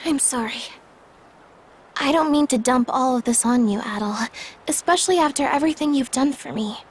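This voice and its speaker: High-voiced, earnest young woman played by an actress. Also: normal speed, slight background noise, lightly acted. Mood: apologetic. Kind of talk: English video game voice acting.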